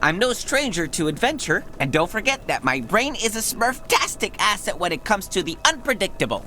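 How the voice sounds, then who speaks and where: Boastful little male cartoon know-it-all, pompous, sharp bright tone, animated. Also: mid-range voice, brisk, a little hiss or hum, poor audio. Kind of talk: cartoon video game cutscene acting.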